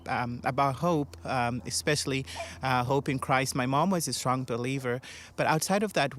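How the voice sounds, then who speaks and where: A man's voice: mid-range voice, a bit bright. A man from Zimbabwe, an officer with a charity, answering interview questions in an earnest, reflective way. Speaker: Salvation Army officer. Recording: noisy background.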